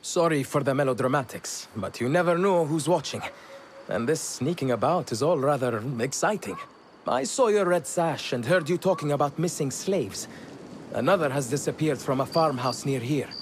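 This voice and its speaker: A man playing a chatty, excitable informant in a video game, speaking politely and confidingly. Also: fairly high pitch, normal speed, a little hiss or hum, close to an everyday voice. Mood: confiding, eager. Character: chatty, excitable male informant, fond of intrigue. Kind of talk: dramatic video game acting.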